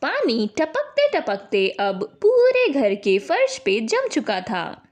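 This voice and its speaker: A woman's voice: sits high, wildly swooping pitch, takes it slowly, darkish tone. A woman narrating a scripted family story in a dramatic storytelling style.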